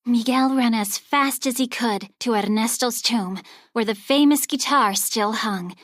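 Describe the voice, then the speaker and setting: A woman narrating a children's storybook aloud in an expressive, scripted read-along style. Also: on the high side, a little slow, dry recording, clean studio sound.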